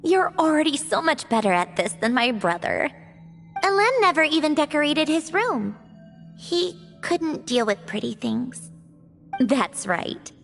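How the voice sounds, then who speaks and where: A gentle, wistful young lady in an anime video game. The voice is fairly high and expressive, washed in long reverb. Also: a little slow, gravelly, soft background music, exaggerated voice. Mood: fond.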